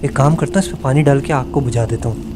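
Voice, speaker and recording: A man's voice: medium pitch, fast, natural voice. A man playing an impulsive, curious young university student in an audio drama. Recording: loud ambient background noise.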